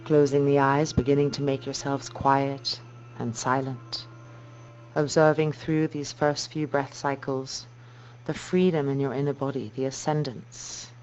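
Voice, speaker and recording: Muffled, soft-spoken woman guiding meditation. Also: a bass voice. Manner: slow, soft, calming delivery with measured phrases. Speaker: yoga teacher. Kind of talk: guided meditation instruction. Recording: dull muffled recording with noise and hum.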